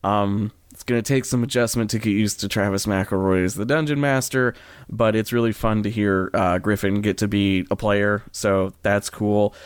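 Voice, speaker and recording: A man hosting a casual podcast, talking off the cuff in a rambling, halting way and often backtracking mid-sentence. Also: fairly low pitch, fairly even intonation, smooth, no room sound, studio quality. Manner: chuckles through his own sentences. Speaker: podcast host. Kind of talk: casual unscripted podcast recommendation.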